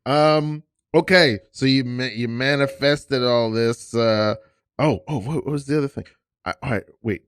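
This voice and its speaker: A man's voice: medium pitch, measured pace, clear, resonant tone, no room sound. A man in his late thirties hosting a podcast in a studio, chatting casually and rambling through restarts and asides. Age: 30s. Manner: rambling, restarts, plenty of "you know what I mean". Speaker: podcast host. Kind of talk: casual chat.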